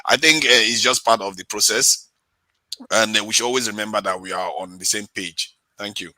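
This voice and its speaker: Bright-toned man urging calm. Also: lowish voice, brisk, no room sound, rough recording. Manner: earnest, pleading for unity. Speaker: panelist. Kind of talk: advisory remarks on a panel.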